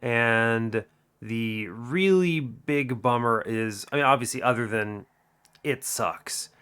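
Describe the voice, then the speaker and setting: A man's voice: mid-range voice, takes it slowly, fairly bright tone, clean tone. A young man hosting a gaming channel, talking through a card game while sick, his voice muted and a bit nasal, rambling and correcting himself as he goes. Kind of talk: game commentary. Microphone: desk microphone.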